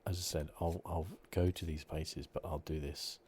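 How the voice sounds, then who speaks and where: A man giving soft, relaxed ASMR commentary over a video game. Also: a bass voice, normal speed. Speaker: gaming channel host. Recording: clear background noise. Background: game ambience.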